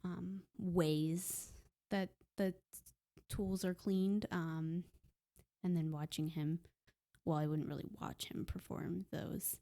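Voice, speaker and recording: Young adult woman, soft, hesitant podcast guest with a slightly low voice. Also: steady tone, slow pace. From Puerto Rican heritage. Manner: soft-spoken, hesitant with many ums. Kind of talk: casual conversation.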